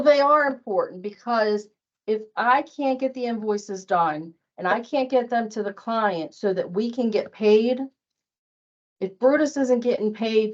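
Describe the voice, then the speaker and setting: A woman's voice: fairly high pitch, lo-fi sound. A woman giving an informal workplace training talk as a billing trainer, emphatic and repeating her points for stress.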